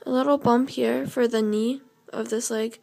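A young woman with a slightly hoarse, fairly high voice walks through a drawing lesson step by step. Her delivery is casual and loose, full of fillers and quick self-corrections. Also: fairly even intonation, mellow. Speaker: drawing tutorial host. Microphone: camera over the drawing paper, speaker off frame.

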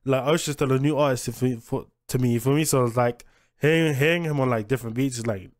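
A man's voice: mid-range voice, fast talker. A young adult man giving casual, slangy reaction commentary as the host of a music reaction video. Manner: slangy, street-style talk. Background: hip hop music playing.

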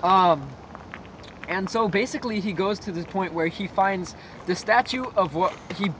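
A young man rambling through a creepy story with lots of fillers, recorded in a dark room on a camera a few feet away. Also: high voice, measured pace. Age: young adult. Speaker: co-host. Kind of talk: casual storytelling. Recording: noisy camera audio.

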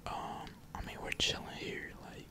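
A gamer whispering slangy, laid-back ASMR commentary while playing, with casual swearing. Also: normal speed. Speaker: gaming streamer.

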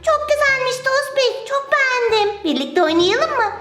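A performer voicing an excitable, delighted little girl in a children's toy play skit, gushing in sing-song exclamations. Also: very high-pitched, normal speed. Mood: cheerful, curious. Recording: roomy echo with music behind.

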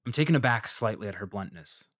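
An actor playing a polite, measured freelance journalist who narrates his investigation in a fiction podcast. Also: fairly low pitch, expressive, a bit bright, no echo, clean studio sound. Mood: somber, observant. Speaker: narrator and lead character.

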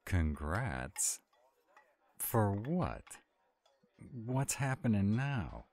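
An actor playing a grumpy, anxious male were-dragon in an audio roleplay, gruff and exasperated in his drawl. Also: fairly low pitch, big pitch swings, drawn-out words, a little mellow, heavily acted. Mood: sullen, baffled.

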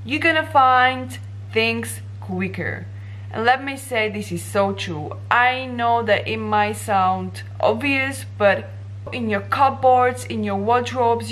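Slow, unhurried young woman with Slovak accent. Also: on the high side, a bit bright, poor audio. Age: young adult. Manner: unhurried, gestures with her hands while explaining. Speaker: vlogger. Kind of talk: talking-head vlog. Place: bright indoor office room.

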